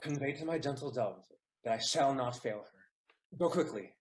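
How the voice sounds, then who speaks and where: Mid-pitched ardent young lover, played by a man. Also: normal speed, lightly acted, poor audio. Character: ardent young gentleman lover plotting an elopement. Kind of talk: audio play Shakespearean acting.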